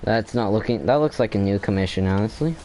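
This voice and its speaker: A man's voice: on the low side, fast, warm tone, a little hiss or hum, low-quality recording. A man giving casual commentary on his gameplay, rambling as he thinks aloud and trailing off mid-sentence. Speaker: gameplay streamer.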